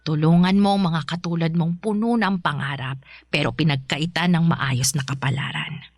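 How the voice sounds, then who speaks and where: A woman playing a gentle, pious elderly adoptive mother in a radio drama, speaking softly with small chuckles. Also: deep voice, moderate pace, no echo, clean studio sound. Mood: gentle warm. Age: older.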